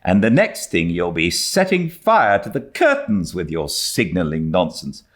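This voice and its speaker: A man's voice: low-pitched, wildly swooping pitch, a little slow, a bit bright, heavily acted. A man voicing a stern, sarcastic older woman who scolds curtly in a storybook reading. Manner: curt, scolding, emphatic.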